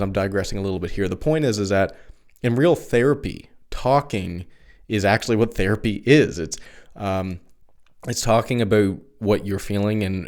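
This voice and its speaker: A man's voice: fairly low pitch, a little mellow. A young adult man hosting a solo podcast, talking casually through his thoughts with frequent restarts and asides. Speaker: podcast host. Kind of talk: solo philosophy podcast monologue.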